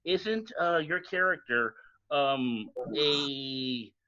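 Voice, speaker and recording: A man with a fairly high voice speaks politely and hesitantly in casual panel chat. The audio is slightly dull and lo-fi. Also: expressive, extremely slow, bright, forceful tone. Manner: courteous, hesitant. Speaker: voice actor on a panel.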